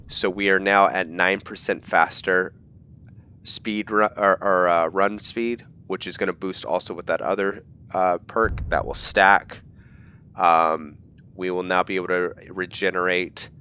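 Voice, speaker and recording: A man's voice: sits low, bright, forceful tone. A man giving casual gameplay commentary as a host, rambling as he explains things step by step. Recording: dull lo-fi mic with faint noise.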